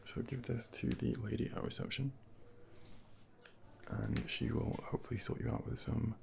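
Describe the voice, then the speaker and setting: A man speaking softly and breathily, at times whispering, as a gentle, reassuring doctor in an ASMR medical role play, close to a binaural microphone. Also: medium pitch, fairly even intonation. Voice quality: breathy soft voice slipping into whispers. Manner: gentle, reassuring, unhurried asides. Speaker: ASMR performer playing a junior doctor. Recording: clearly noisy close binaural recording, ambient background.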